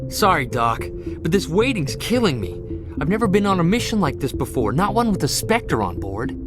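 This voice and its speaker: Keen young marine, lively voice, music under. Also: male voice, on the high side, moderate pace. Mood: eager. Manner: eager, restless. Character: eager young male marine corporal. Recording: game audio with score.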